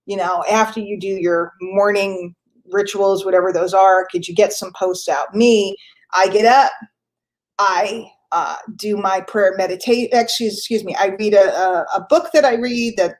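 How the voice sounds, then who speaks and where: A woman's voice: mid-range voice, normal speed, rough recording. A woman hosting a daily motivational business call, a little stuffy from a cold, speaking earnestly and repeating key points. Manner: casual, conversational, with fillers and self-corrections. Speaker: host.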